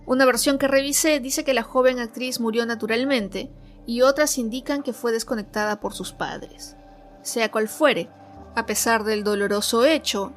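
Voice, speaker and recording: A woman narrating a scripted true crime story as host, in a measured, clearly phrased way. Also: on the high side. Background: background music.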